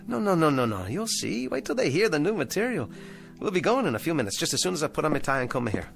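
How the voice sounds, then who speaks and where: A man's voice: a little high, very animated, quick pace, creaky, fairly bright tone, soft background music, heavily acted. An actor playing a down-on-his-luck male ventriloquist who talks in an eager, fretful, anxiously upbeat way. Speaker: struggling ventriloquist. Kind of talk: 1960s television drama acting.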